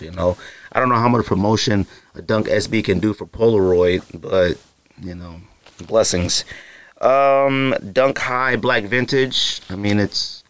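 A man's voice: on the low side, fairly bright tone. A young man hosting a podcast, talking casually and rambling, with many fillers and asides. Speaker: podcast host. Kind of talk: casual solo podcast talk.

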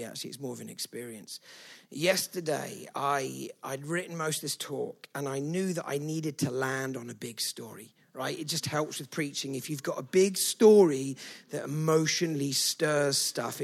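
A man's voice: fairly high pitch, creaky, fairly bright tone, slightly rough. A man preaching a sermon to a church, emphatic and conversational, often adding "right?". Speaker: pastor.

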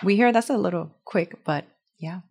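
A middle-aged woman from Puerto Rico whose first language is Spanish, speaking English with a Puerto Rican accent as a guest telling her story, chatty and quick to laugh. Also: fairly low pitch, darkish tone, slightly rough. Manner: laughs often, chatty and bubbly. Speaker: guest author. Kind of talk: casual podcast interview.

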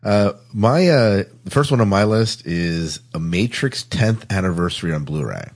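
A man hosting a casual panel chat on a podcast, with a husky voice. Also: low-pitched, animated, normal speed. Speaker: podcast host.

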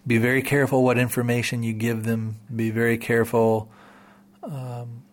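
A man's voice: medium pitch, level tone, normal speed. A man hosting a podcast, talking casually to listeners in a rambling way, full of repeats and "you know"s. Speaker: podcast host.

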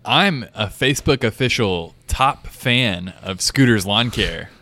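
A man's voice: mid-range voice, expressive, unhurried, bright, forceful tone, slight background noise. A man in his thirties co-hosting a podcast, teasing his partner with dry jokes. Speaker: podcast co-host. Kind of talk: casual podcast banter.

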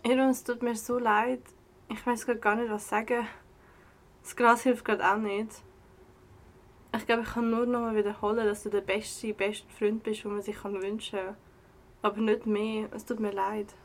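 A young woman with a Swiss German accent playing a kind, apologetic partygoer in an audio drama, speaking gently and hesitantly. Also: fairly high pitch, level tone, normal speed, natural voice. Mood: apologetic, tender. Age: young adult. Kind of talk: amateur audio drama dialogue. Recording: music underneath.

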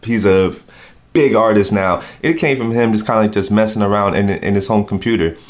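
A man with a deep voice, guest in a casual interview recorded in a home dining room, talking in a relaxed, rambling way full of fillers. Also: fairly quick. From New Jersey. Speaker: rap performer, interview guest.

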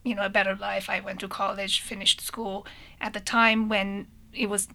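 A woman who grew up in Iran, answering interview questions in a thoughtful, halting way with many restarts. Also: mid-range voice, little pitch movement, bright, forceful tone. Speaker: guest.

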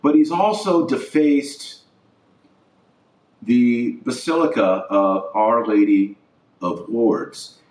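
A man's voice: lowish voice, a little mellow. A man hosting an informal opinion podcast, speaking in a blunt, indignant way and repeating phrases for emphasis. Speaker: podcast host. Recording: roomy echo, distant from the mic.

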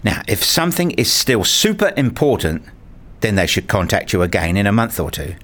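A man playing an earnest, dryly sarcastic university researcher in a scripted radio play, with a deadpan, formal way of talking. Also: on the low side, moderate pace. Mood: dry, teasing. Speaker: narrator and lead actor in a radio play. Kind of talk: first-person scripted radio play narration and dialogue.

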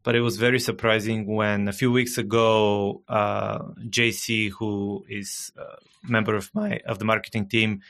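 Bulgarian man, low gravelly voice. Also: flat delivery, a bit bright. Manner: measured, hesitant with frequent uh fillers. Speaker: guest, marketing director. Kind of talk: casual podcast conversation.

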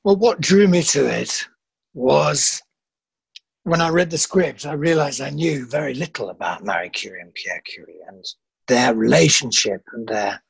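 A middle-aged film actor with a husky voice, answering interview questions in a self-deprecating, halting way. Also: male voice, medium pitch, expressive, no echo, poor audio.

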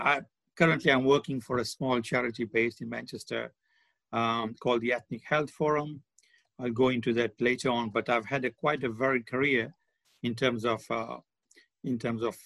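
A man's voice: medium pitch, vocal fry, a bit bright, no echo. A man in his sixties from India and Malawi, talking discursively as a guest in a conversational interview. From born in India, grew up in Malawi. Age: 60s. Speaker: podcast guest, charity development manager. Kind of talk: conversational interview, reflective account. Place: remote online call.

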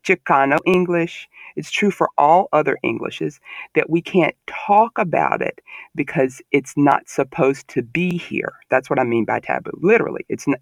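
A man with a Southern American accent, discussing language on a podcast in a measured, explanatory way. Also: medium pitch, creaky, dry recording. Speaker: podcast panelist.

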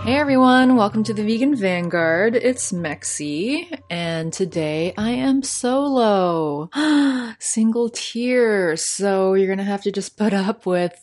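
A woman's voice: medium pitch, expressive, slow pace, darkish tone, soft background music. A young adult woman with a Canadian accent hosting a solo podcast, chatty and prone to laughing, with lots of fillers. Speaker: podcast host.

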